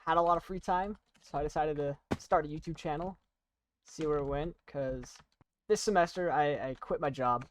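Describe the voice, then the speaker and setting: A young adult chatting casually while playing and commentating on a video game, rambling in a halting, self-deprecating way. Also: mid-range voice. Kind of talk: casual gaming commentary and chat.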